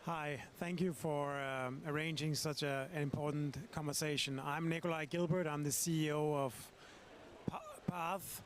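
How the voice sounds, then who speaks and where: A man's voice: on the high side, fairly even intonation, moderate pace, slight background noise. A man, a chief executive, putting a polite, prepared question to a panel. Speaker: audience member, chief executive.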